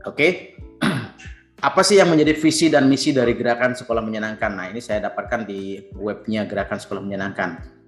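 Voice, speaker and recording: A man in his fifties, a teacher, earnestly presenting to fellow educators and clearing his throat often. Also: lowish voice, echoey room. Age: 50s.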